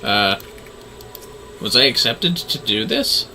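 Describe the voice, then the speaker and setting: A man's voice: mid-range voice, very animated, takes it slowly, pushed and bright, a little hiss or hum. A man giving casual livestream commentary on a game, with playful, theatrical asides.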